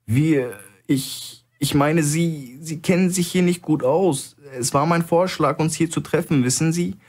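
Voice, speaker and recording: Shrill, stammering, nervous man. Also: measured pace, darkish tone, husky. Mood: flustered, nervous. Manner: stuttering, shrill, shaky.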